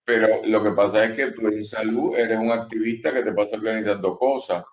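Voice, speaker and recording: Low-voiced host rambling thru an intro, muffled sound. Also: male voice, fairly even intonation, fairly quick. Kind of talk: interview introduction.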